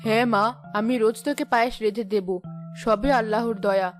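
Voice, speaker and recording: High-voiced village mother played by an actress. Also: steady tone, a little fast, lightly acted. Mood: relieved. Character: anxious, practical poor village mother and wife. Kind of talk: cartoon story acting. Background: music.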